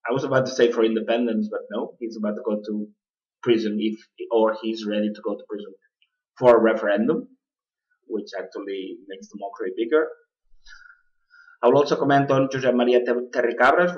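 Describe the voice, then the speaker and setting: Halting man hosting a radio talk. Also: fairly low pitch. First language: not English. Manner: halting, many uh fillers and restarts. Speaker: radio show host. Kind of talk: political commentary monologue. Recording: distant, dull lo-fi sound.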